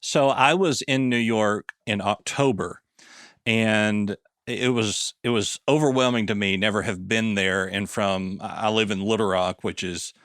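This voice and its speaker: A Southern man in his thirties with a fairly low voice, hosting a relaxed interview. He rambles through anecdotes and plays out the other people's lines. The sound is dry and close, with no room around it. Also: measured pace, no room sound. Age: 30s. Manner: chatty storyteller, acts out quoted voices. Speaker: podcast host. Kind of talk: casual interview chat.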